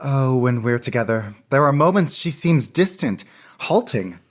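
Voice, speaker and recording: A woman playing a lovestruck, crafty young gentleman in a florid, theatrical radio drama. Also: very deep voice, expressive, measured pace, exaggerated voice. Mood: rapturous. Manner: florid, rhapsodic delivery.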